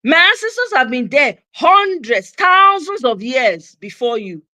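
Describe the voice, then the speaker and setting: A woman's voice: sits high, very animated, a little slow, pushed and bright, no room sound. A Nigerian woman hosting a commentary channel, speaking in a passionate opinion monologue and repeating her points for emphasis, with Pidgin phrases in her accent.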